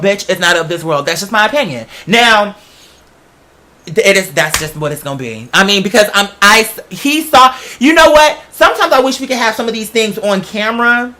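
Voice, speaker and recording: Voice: medium pitch, bright, forceful tone. A chatty podcast host telling stories in a casual, rambling way, with slangy, emphatic phrasing and frequent digressions. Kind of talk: casual rambling storytelling to an audience.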